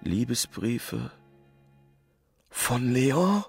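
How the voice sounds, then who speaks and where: An actor playing a meek, grief-broken widower in a radio play, with a husky, breathy voice and halting, subdued delivery. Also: male voice, medium pitch, very animated, a little mellow, faint music behind, exaggerated voice. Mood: stunned disbelief. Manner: halting, subdued, drawn-out phrases. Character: grief-broken widowed husband, meek, forgiving, lost in thought.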